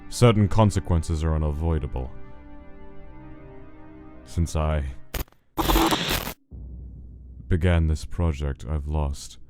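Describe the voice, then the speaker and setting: A man's voice: extremely low pitch, unhurried. A man playing a cold, stern, guilt-weary skeleton scientist in a comic dub, speaking in a grave, clipped, formal and commanding way. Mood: grave, resigned. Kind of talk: dramatic comic dub acting. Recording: music behind the voice.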